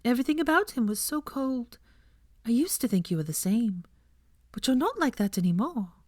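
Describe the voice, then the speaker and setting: A woman voicing a gentle, dreamy young woman with a soft, wistful way of speaking in a dramatic story reading. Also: fairly high pitch, very animated, normal speed, no echo, clean studio sound, pitched above the performer's own voice. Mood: tearful, earnest.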